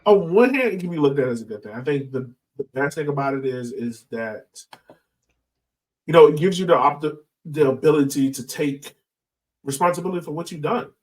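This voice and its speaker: A man's voice: fairly high pitch, animated, a little fast. Man hosting a podcast, slightly distant mic. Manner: conversational, frequently says "like" and "you know". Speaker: podcast host. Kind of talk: casual podcast discussion.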